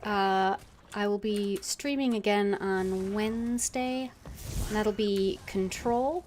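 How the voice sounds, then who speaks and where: A woman's voice: medium pitch, takes it slowly, darkish tone. A woman streaming a game, giving casual live commentary. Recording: clearly noisy with game ambience.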